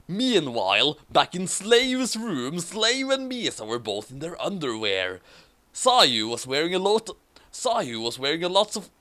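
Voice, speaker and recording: A man reads a silly fanfiction aloud in a mock-dramatic manner. His voice is bright and expressive, with a close, dry sound. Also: a little high, dry recording. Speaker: story reader. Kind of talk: comedic fanfiction read-aloud with asides.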